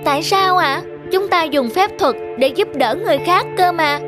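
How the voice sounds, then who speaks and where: High-pitched little monkey boy. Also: lively intonation, moderate pace. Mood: pleading. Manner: childlike, earnest, polite. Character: eager naive little monkey boy apprentice. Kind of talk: dubbed children's moral cartoon. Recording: music behind the dialogue.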